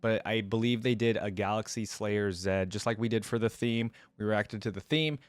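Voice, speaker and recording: A man with a fairly low voice gives casual reaction commentary. He rambles conversationally, thinking aloud for his viewers, recorded close and dry. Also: normal speed, smooth, no echo. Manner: rambling, conversational, mulling things over aloud. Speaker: reaction video host.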